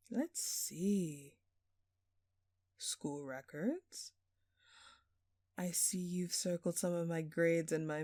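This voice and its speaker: Woman acting as a slow, smug, flirtatious thief. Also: on the low side, dark tone, no room sound, clean studio sound. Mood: smug, flirty. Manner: teasing, theatrical, playfully sarcastic. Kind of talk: intimate scripted audio roleplay.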